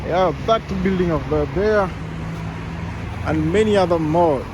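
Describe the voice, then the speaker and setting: Kenyan guy vlogging outside, kinda high voice, rambly, traffic noise all around. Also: unhurried. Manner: chatty, rambling, self-correcting. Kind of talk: casual walking vlog. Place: outdoors on a city street.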